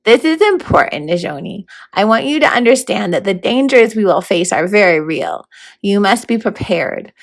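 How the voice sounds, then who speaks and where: A woman's voice: mid-range voice, very animated, moderate pace, gravelly. A woman voicing a formal, fussy, stern male horned toad mentor in a storybook read-aloud. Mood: grave. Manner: proper, grandly worded, matter-of-fact.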